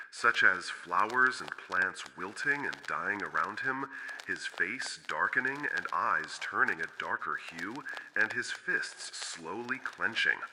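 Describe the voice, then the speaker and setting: A man playing a brooding, formal otherworldly therapist recording measured, clinical case notes in an analog horror audio drama. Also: fairly low pitch. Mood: grave, pensive. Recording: noisy, clicky, radio-like recording.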